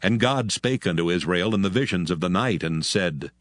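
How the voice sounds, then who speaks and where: A man's voice: low-pitched, animated, moderate pace, fairly bright tone, no room sound, studio quality, faint music behind. A man narrating scripture from a script as a formal storyteller.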